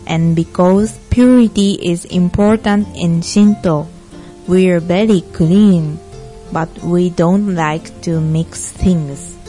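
A woman's voice: lowish voice, takes it slowly, warm tone. A young woman playing an earnest Buddhist panelist in a scripted student stage play, over music. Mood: earnest, explanatory. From Japan. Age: young adult. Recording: music behind the voice.

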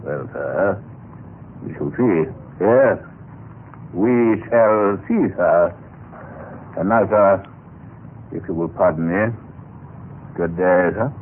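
An actor playing a pompous, insinuating police superintendent in an old radio drama, accusing with drawn-out mock courtesy. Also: male voice, low voice, slight background noise. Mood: coldly dismissive. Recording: muffled narrow-band old radio recording.

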